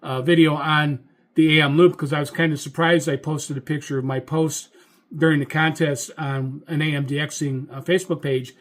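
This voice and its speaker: American man with a slightly high voice. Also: level tone, moderate pace. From United States. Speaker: amateur radio operator and host. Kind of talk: informal instructional talk.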